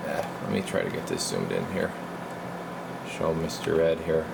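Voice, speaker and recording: A man working as a radio repair technician, giving casual, halting bench commentary as he mutters and thinks aloud. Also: very deep voice, big pitch swings, vocal fry, very noisy. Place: indoor radio repair workbench.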